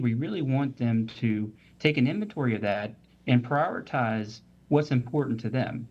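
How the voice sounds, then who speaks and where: A man of average pitch explains mental health topics as a medical guest on a talk show. He speaks steadily and methodically, often pausing on "uh" and "um", and the recording sounds a little dull. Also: darkish tone. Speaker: physician guest.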